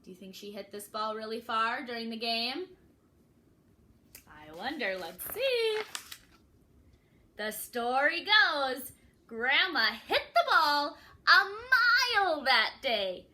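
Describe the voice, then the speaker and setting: A woman's voice: high-pitched, big pitch swings, takes it slowly, pauses often, sharp tone. A woman reading a picture book aloud to young children, expressively, with dramatic emphasis and questions put to the listeners. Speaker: children's read-aloud storyteller.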